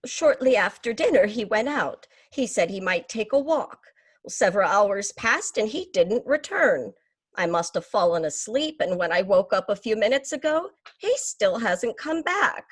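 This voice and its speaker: An actress playing a fretful, polite, genteel wife in an old-time radio melodrama, worried and breathless. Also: female voice, mid-range voice, big pitch swings, normal speed, husky, no echo.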